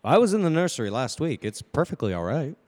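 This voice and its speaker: A man's voice: fairly high pitch, big pitch swings, quick pace, darkish tone. A man playing a practical, dismissive husband and father in a radio play, brusque and placating. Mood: skeptical.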